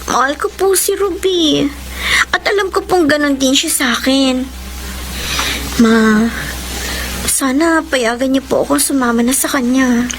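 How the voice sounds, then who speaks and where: A woman acting a sweet, anxious young woman in love in a Filipino radio drama, expressive and pleading, with a Filipino accent. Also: high voice, a little slow, long pauses, slight background noise, low-quality recording. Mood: nervous pleading. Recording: music under the voice.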